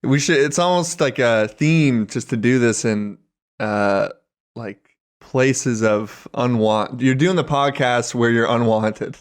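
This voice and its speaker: A man co-hosting a comedy podcast in casual, giggly chat with halting phrasing. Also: mid-range voice. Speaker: podcast co-host and editor.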